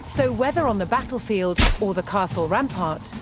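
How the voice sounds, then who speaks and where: A woman narrating a documentary from a script with measured, dramatic delivery. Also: medium pitch, animated. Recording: loud music behind. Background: music.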